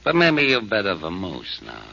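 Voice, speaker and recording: Deep gravelly gangster boss, barks orders, muffled old radio. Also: male voice, wildly swooping pitch, normal speed, sharp tone, exaggerated voice. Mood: menacing. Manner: gruff, threatening, clipped slang. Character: menacing male gambling boss, gruff and bossy. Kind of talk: 1940s radio acting.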